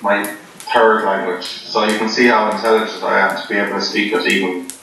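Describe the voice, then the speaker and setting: Deadpan joking man, echoey room. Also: lowish voice, fairly quick. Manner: deadpan, jokey, rambling with ums. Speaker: poker player being interviewed. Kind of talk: joking casual chat. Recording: echoey room, voice from far off, faint noise.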